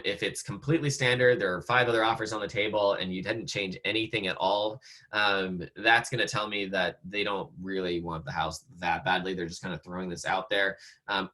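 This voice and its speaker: A man with an American accent, slightly hoarse, teaching real estate agents over a video call in a casual way, with lots of fillers and restarts. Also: lowish voice, pushed and bright. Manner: conversational, many fillers and restarts. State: slightly hoarse, losing his voice. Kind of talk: online training talk.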